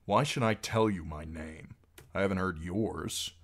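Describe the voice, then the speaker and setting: A man's voice: deep voice, a little slow. A man voicing a stubborn, scowling teenage boy in an audiobook, curt, scornful and challenging. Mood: warning.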